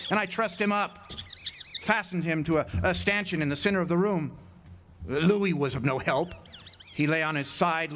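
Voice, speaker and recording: A man's voice: on the high side, close to an everyday voice. A man playing a haunted lighthouse keeper who tells his story in a radio drama, dramatic and stammering over words. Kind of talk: radio drama first-person narration. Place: outdoor amphitheater stage. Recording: noisy outdoor live stage recording, birdsong.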